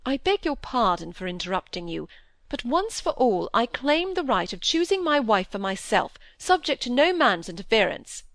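A woman voicing a proud, touchy young gentleman in an audiobook, speaking formally and politely. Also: medium pitch, lively intonation, moderate pace, gravelly, no room sound. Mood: indignant. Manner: formal, polite, stiffly indignant. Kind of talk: audiobook character dialogue.

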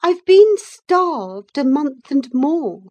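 A woman voicing a scornful, haughty young widowed lady in an audiobook, imperious and biting, quick to rage. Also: high-pitched, lively intonation, dark tone. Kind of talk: dramatised audiobook reading of a classic novel.

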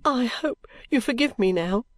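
A woman voicing an affectionate, tearful young bride in an audiobook, pleading and halting with emotion. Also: a little high, lively intonation. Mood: sorry, tearful. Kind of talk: audiobook character acting.

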